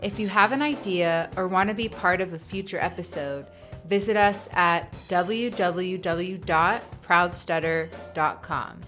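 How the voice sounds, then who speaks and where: Woman host reading her podcast script, lowish voice, soft music behind. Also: a little slow.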